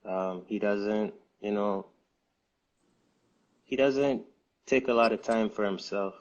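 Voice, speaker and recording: Soft-spoken man with a low voice. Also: highs cut off. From United States. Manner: unhurried, hesitant with many fillers and restarts. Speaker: guest, music distribution co-founder. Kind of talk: casual interview answers.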